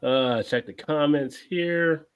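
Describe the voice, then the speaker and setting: A man's voice: a little high, takes it slowly, clean tone, dull audio. A man hosting a casual beer tasting livestream, reading out viewer comments and laughing often. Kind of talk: casual chat.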